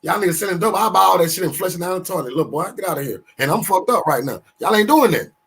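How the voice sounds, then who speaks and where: A man in his fifties with a fairly high voice, talking loosely on a podcast. He stays animated and profane, stumbles over restarts, and keeps circling back into his stories. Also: fast, gravelly. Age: 50s. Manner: animated, swearing, storytelling with restarts. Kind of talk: casual podcast talk.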